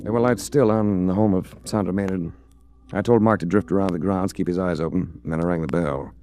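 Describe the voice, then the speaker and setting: Man playing a low, cool hard-boiled detective. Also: steady tone, fairly quick, over music, close to an everyday voice. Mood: measured narrating. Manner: terse, controlled. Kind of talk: 1940s radio detective drama acting with first-person narration.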